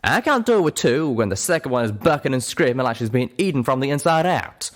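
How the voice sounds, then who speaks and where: A man voicing a gruff, protective male pegasus with a drawl, blunt and scolding. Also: medium pitch, sing-song, fairly quick, a bit bright, voice pushed up. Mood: angry scolding.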